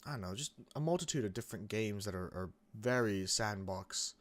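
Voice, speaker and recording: Rambling young man, a bit croaky. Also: lowish voice, lively intonation, a little fast. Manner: rambling, many restarts and fillers. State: getting sick, a bit croaky. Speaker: gaming channel host. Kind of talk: casual gameplay commentary.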